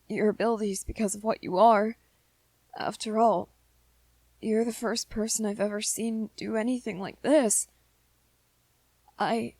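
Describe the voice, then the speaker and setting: A woman voicing a shy, smitten young male vampire in a romantic ASMR roleplay, gentle and hesitant, often stammering over repeated words. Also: mid-range voice, dry recording. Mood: admiring, bashful.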